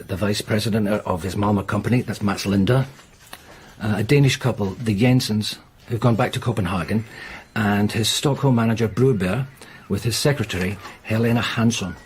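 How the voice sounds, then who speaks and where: A methodical male detective played by an actor in a radio drama. His voice is on the low side and courteous, plainly reporting with small hesitations. There is faint noise and ambience behind him. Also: normal speed, natural voice. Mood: matter-of-fact. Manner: hesitant, matter-of-fact delivery. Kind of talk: naturalistic radio drama acting.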